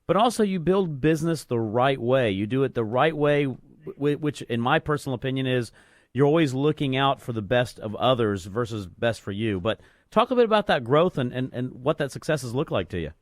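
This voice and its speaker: A man hosts a business talk radio show and talks quickly in a casual, conversational way. He often restarts and repeats words as he goes. His voice is dry and close, with no room sound. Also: medium pitch, expressive, no room sound. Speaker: guest radio host.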